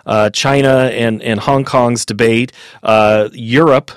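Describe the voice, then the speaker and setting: A man's voice: mid-range voice, steady tone, slow, clean tone, no room sound. Male host, conversational and rambling, dry close recording. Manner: rambling, conversational, with repeated words. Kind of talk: interview questions.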